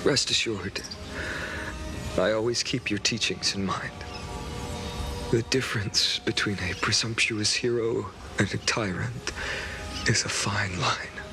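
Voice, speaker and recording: Game lead: earnest, courteous young lord, lowish and unhurried, music underneath. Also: male voice, fairly even intonation. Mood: sincere. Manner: soft, courteous, sincere. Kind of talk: video game voice acting.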